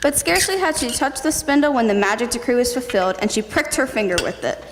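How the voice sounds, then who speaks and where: Female narrator for staged fairy tale, slightly high voice, recorded in a ringing hall. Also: lively intonation, quick pace. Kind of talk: scripted fairy-tale narration, readers theatre. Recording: noisy hall with long reverb.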